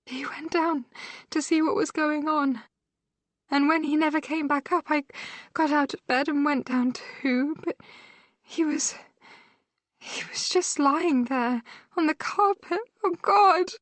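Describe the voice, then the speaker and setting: A woman reading an audiobook voices an injured, grieving young woman with a raspy, sore, shaky voice that cracks and slurs a little. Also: high voice, slow pace, no room sound, voice pushed up. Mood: distraught. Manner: shaky, cracking, slightly slurred.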